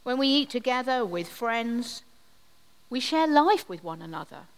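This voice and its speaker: A woman leading a communion service, giving a gentle, reflective sermon with measured pauses. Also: on the high side, sing-song. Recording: long hall reverb.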